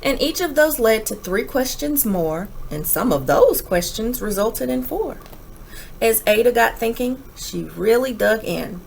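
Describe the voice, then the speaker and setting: A woman reads a picture book aloud for children in the manner of a teacher. Her voice is on the high side and swings widely in pitch. She takes her time, with dramatic pauses and emphatic phrasing. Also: lively intonation, creaky, slight background noise. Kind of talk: expressive children's read-aloud, rhyming storybook narration.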